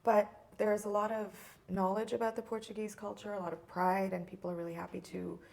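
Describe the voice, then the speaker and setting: A woman's voice: medium pitch, little pitch movement, brisk, gravelly, a little mellow. A woman from India talking casually as a guest in an interview.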